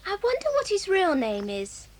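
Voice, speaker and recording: This is an earnest little girl in a storybook drama, voiced by a woman. Her voice is very high and rises and falls. She sounds serious about the matter. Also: wildly swooping pitch, normal speed, heavily acted. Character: young girl club member, earnest. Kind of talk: audio drama for children.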